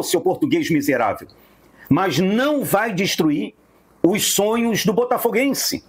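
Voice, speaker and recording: A man with a Brazilian accent and a husky voice, delivering an indignant, emphatic football fan rant that keeps hammering phrases. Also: high-pitched.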